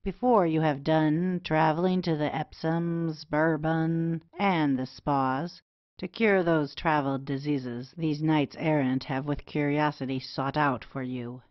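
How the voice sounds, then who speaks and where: A woman playing a melancholy, bitter gentleman suitor in an old comedy, speaking formally and with measure. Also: deep voice, steady tone, a little slow, dry recording, lo-fi sound. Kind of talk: Restoration comedy audio play acting.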